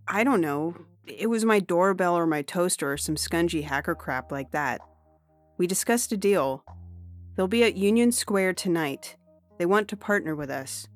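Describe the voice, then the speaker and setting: A woman's voice: on the low side, expressive, measured pace, soft background music. A woman playing a brash, businesslike young hacker with a hard, slangy, scornful way of talking, in a dramatized science fiction audiobook.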